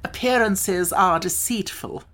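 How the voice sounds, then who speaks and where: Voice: mid-range voice, a little slow, a bit bright, pitched above the performer's own voice, close to an everyday voice. An oversized scholarly bug from a children's story, put on by a narrator. It speaks earnestly and pompously, proud of its own learning. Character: pompous, educated giant talking bug.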